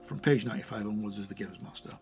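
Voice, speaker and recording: A man's voice: lowish voice, steady tone, rapid-fire, darkish tone. Hesitant man talking over background music. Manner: hesitant, rambling, self-correcting. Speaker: reviewer presenting a tabletop game sourcebook. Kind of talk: casual unscripted book walkthrough commentary.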